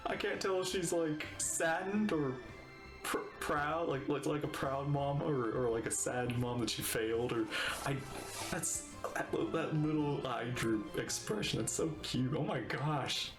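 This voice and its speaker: A man giving casual, excitable, rambling reaction commentary while playing a game, talking back to the characters. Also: mid-range voice, a little slow, echoey room, over music.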